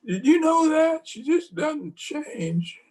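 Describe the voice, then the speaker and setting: An actor playing a feeble, forgetful old husband in a radio play, halting and repeating his words, tender and querulous. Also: extremely high pitch, very animated, a little mellow, full character voice. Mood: querulous, bewildered. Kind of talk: old-time radio drama acting. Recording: home video call with music.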